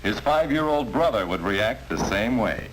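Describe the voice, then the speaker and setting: A man narrating an educational film in a measured, instructive way. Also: on the low side, lively intonation, a little hiss or hum, low-quality recording.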